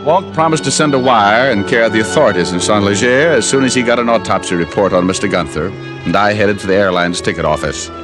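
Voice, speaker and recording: A man's voice: on the low side, quick pace, lightly acted. An actor playing a wry, tough, hard-boiled private detective in an old radio drama, wisecracking and narrating his case in clipped phrases. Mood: narrating, tense. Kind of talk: 1940s-50s radio detective acting and first-person narration. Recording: old radio recording, music behind.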